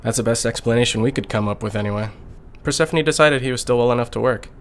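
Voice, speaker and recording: An earnest, protective crewmate played by a man tries to keep the peace in a medium-pitched voice. It is sci-fi audio drama acting over faint ambience. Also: quick pace, slight background noise, close to an everyday voice. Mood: concerned, earnest. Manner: conciliatory, earnest.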